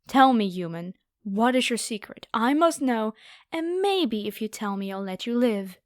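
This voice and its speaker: A woman's voice: a little high, expressive, a little slow, no room sound, studio quality. A woman playing a haughty, predatory noble vampire girl in an ASMR roleplay, with a taunting, playful, theatrical delivery. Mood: amazed, demanding.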